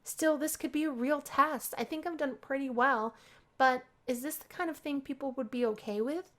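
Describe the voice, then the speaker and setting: A woman narrating a visual novel let's play, reading the story aloud in an expressive storybook way. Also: high-pitched, normal speed. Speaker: gaming video creator.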